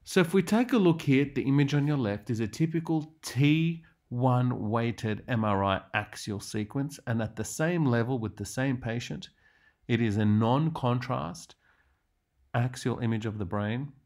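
A man's voice: mid-range voice, a little slow, a little mellow. A man lecturing on radiology in a didactic way, stressing key terms as he narrates prepared slides.

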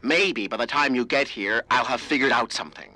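An actor playing a harried, snippy tech expert in a video game, heard over a radio comm. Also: male voice, medium pitch, a little fast, pushed and bright, a little hiss or hum. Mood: exasperated.

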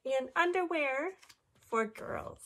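A woman in her thirties, a teacher reading a picture book aloud to children with animated, exaggerated delivery and drawn-out words. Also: high voice. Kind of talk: expressive children's storybook read-aloud. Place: small indoor room with classroom displays.

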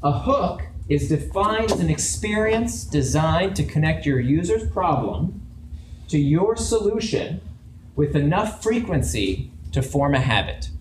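A man's voice: a little high, very animated, unhurried. A man giving a conversational talk to a live audience in a large open event space, asking the crowd questions as he goes. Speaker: guest speaker. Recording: echoey room with background noise.

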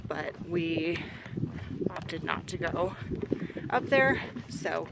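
A woman's voice: fairly low pitch, lively intonation, extremely slow, gravelly. A young woman casually narrating a hike outdoors, chatty and full of fillers, filmed with a camera at arm's length. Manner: chatty, pointing things out, filler-heavy. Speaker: vlogger hiking on her honeymoon. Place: outdoors on a boardwalk trail. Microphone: camera held at arm's length. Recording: outdoor camera audio with wind noise.

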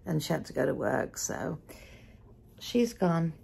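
A woman's voice: sits low, big pitch swings, a little slow, gravelly, darkish tone, slight background noise. A middle-aged woman chatting casually to viewers in a rambling way, recorded at home in a living room on a camera at arm's length. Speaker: vlogger.

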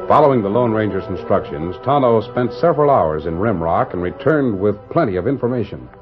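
A man's voice: fairly low pitch, animated, a little fast, music in the background. A man narrating a vintage radio western as its announcer, in scripted style. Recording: dull vintage radio recording.

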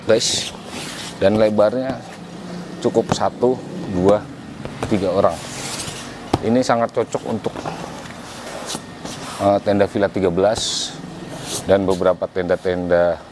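A man in his forties casually reviewing a camping air mattress for viewers, relaxed and chatty, recorded indoors at home on a camera mic a few meters away. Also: low-pitched, background noise. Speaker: product reviewer.

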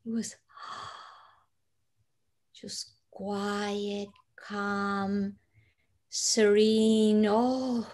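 A woman in her forties from Colombia with a Colombian Spanish accent, telling a personal story as an interview guest over a video call, slow and hushed with soft breathy dips and sudden lifts. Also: mid-range voice, little pitch movement. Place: living room at home.

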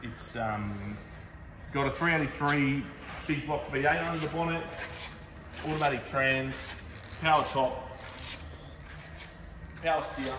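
A man's voice: medium pitch, measured pace, pauses often. Laid-back man in a showroom. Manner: laid-back, enthusiastic car talk with fillers. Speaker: car dealer host. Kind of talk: casual showroom walkthrough. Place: large indoor car showroom. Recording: roomy reverb, mic far off, background noise.

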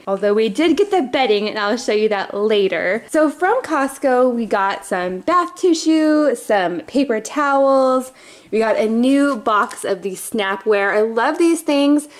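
A woman in her thirties talks in an upbeat, chatty way, calling her viewers friends. Her voice sits a little high. She is recorded on a camera mic at home while filming items up close. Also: lively intonation, unhurried. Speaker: family vlogger. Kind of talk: casual grocery haul chat. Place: home, indoors. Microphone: camera mic, off-screen speaker filming products.

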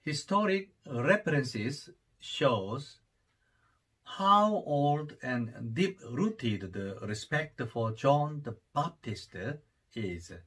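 A priest in his forties with a Korean accent gives a homily to camera in his study. He speaks unhurriedly, pauses often on fillers, and grows more fervent at times. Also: medium pitch, lively intonation, a bit bright, breathy edge. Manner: unhurried, many hesitations, rising fervor. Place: study with bookshelves, indoors. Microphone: camera at desk distance.

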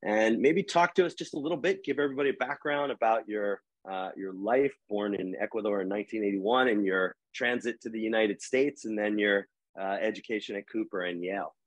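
A man's voice: on the low side, a little fast, no echo, low-quality recording. A middle-aged man hosting a live video call conversation, rambling with many fillers and restarts, wearing earbuds. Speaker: host, editor-at-large. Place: home room with wood panel wall.

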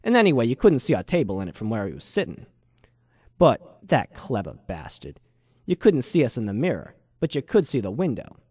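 Man playing a wry hardboiled noir detective type, very animated. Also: medium pitch, brisk, breathy edge, no room sound, studio quality. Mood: suspicious, wry. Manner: wry, world-weary, slangy. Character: cynical wisecracking male ex-journalist, hardboiled noir narrator. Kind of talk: hardboiled first-person noir radio drama narration.

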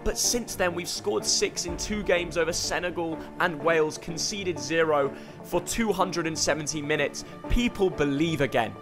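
A man giving energetic, scripted football vlog narration. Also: fairly high pitch, normal speed. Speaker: football vlogger. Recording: music bed behind voice.

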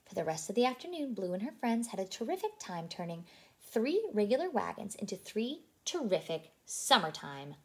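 A woman reading a picture book aloud to children in an expressive way, as a childcare teacher who puts questions to her listeners. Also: medium pitch, moderate pace.

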